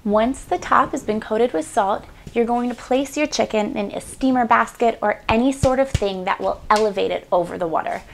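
A woman's voice: mid-range voice, gravelly, a little hiss or hum. Upbeat woman hosting a home cooking show. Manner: upbeat, chatty, playful asides. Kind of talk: scripted cooking demonstration. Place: small home kitchen indoors. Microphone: lapel mic.

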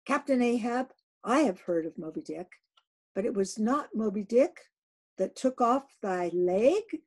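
A woman's voice: fairly high pitch, expressive, measured pace, breathy edge, voice pushed up. A woman voicing an earnest male first mate questioning his captain.

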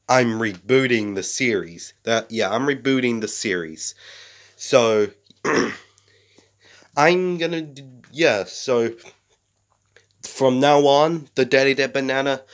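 A young man, sick and a bit rough-voiced, rambling casually to a close camera in a small bedroom, full of fillers and restarts. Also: medium pitch. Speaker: series creator.

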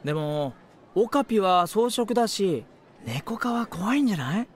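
A man's voice: sits high, animated, moderate pace, slight background noise. A man acting a playful, mischievous teenage volleyball player in an anime radio drama, with a teasing, theatrical manner.

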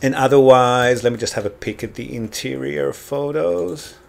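Man, podcast host and property advisor, easygoing explainer, mid pitch, unhurried with filler pauses. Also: gravelly. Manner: relaxed, explanatory, frequent ums. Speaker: real estate consultant and podcast host. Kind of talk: casual consultation call.

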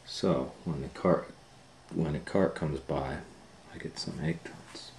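A middle-aged man reading a picture book aloud to children in a relaxed, chatty way, sometimes dropping to a near whisper, recorded on a close camera mic at home. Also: very deep voice, slow, a little hiss or hum. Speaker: children's storytime reader. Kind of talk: casual read-aloud for kids.

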